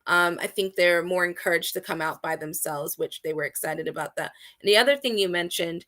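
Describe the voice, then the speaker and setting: A woman guest in a casual interview, speaking with enthusiasm and swinging between loud emphatic bursts and quieter asides. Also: fairly low pitch, brisk. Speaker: guest, outdoor community organizer.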